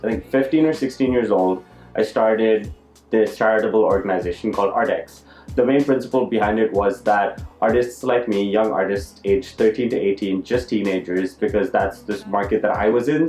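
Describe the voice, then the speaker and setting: A man's voice: lowish voice, normal speed, a little mellow. A young man from Dubai telling his personal story as an art vlogger, casual and informal, often correcting himself mid-sentence. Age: young adult. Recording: roomy echo, music behind.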